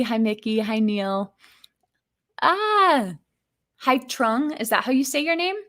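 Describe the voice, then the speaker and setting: A woman hosting her own music livestream, chatting casually with viewers and greeting them by name. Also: medium pitch. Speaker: singer-songwriter hosting a livestream. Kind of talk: casual livestream chat between songs. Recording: loud piano accompaniment.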